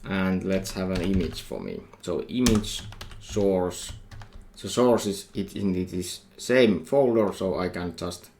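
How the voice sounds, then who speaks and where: A middle-aged man with a Finnish accent, a university lecturer talking through a coding tutorial while he types, thinking aloud in halting phrases. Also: low-pitched, expressive, a little mellow. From Finland. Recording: faint noise with keyboard typing.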